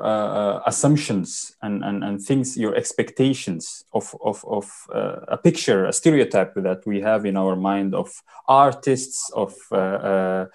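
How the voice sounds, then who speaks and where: Young man filmmaker on headset call. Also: on the low side. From born in Norway, raised in Sudan. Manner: warm, searching for words, many fillers. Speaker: documentary filmmaker guest. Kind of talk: interview answers over video call. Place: home room with shelves. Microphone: headset on video call.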